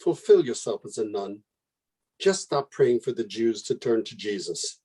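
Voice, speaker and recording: A man playing an anguished, reproachful relative in a radio play, husky and argumentative, pleading intensely. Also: mid-range voice, expressive, measured pace, dark tone.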